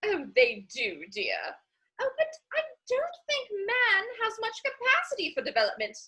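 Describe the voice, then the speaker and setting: Very high-pitched, gushing society matron. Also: female voice, huge pitch swings, moderate pace, bright, forceful tone, full character voice. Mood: gossipy, airy. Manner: gushing, rambling, theatrical. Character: chatty, scatterbrained society matron, gossipy Victorian lady. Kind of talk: stage comedy dramatic reading.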